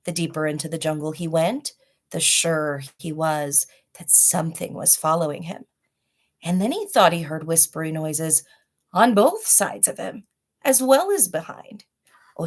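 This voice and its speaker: A woman reading a children's storybook aloud with deliberate, dramatic phrasing and casual asides. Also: on the low side. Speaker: storybook reader, host of a read-aloud library.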